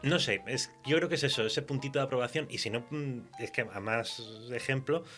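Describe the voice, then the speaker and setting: A man's voice: mid-range voice, a little fast, fairly bright tone, faint music behind. A man with a Castilian Spanish accent chatting candidly and colloquially as a writer being interviewed on radio. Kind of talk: casual conversational radio interview.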